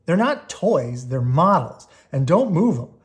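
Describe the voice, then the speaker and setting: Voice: mid-range voice, very animated, a little slow. A storybook reader voicing a defensive, bossy young boy bear cub who protests emphatically.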